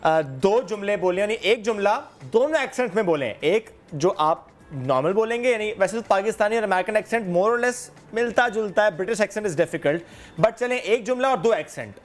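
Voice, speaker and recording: A woman's voice: medium pitch, lively intonation, normal speed, faint music behind. A woman hosting a morning TV show, asking a child guest playful, teasing questions. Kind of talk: television interview. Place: television studio.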